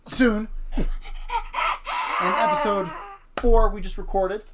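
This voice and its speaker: A woman's voice: medium pitch, expressive, slow pace, very noisy. A woman hosting a casual streamed storytelling session, setting the scene and questioning a child about his ideas. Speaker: host.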